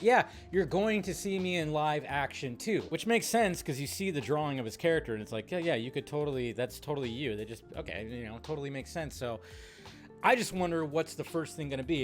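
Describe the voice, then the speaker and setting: A man giving casual, opinionated fan commentary as a film news host, emphatic, rambling and slangy. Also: fairly high pitch. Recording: music behind the voice.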